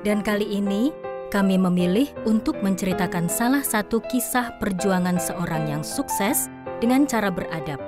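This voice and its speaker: A woman's voice: medium pitch, expressive, moderate pace. Woman narrating over prominent music. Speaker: narrator of an audio drama. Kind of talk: scripted storytelling narration. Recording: loud music behind the voice.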